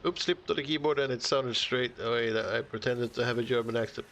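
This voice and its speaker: A man's voice: mid-range voice, fairly quick, a bit bright, slight background noise. Chuckling man narrating his game build. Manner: chuckling often, musing half to himself. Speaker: game streamer. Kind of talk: casual live-stream commentary while building.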